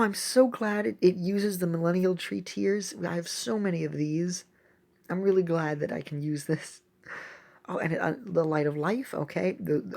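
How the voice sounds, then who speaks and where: A woman giving casual, excitable gameplay commentary as a video host, thinking aloud as she plays. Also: on the low side, gravelly, breathy edge. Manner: excitable, exclaiming often; thinks aloud while playing.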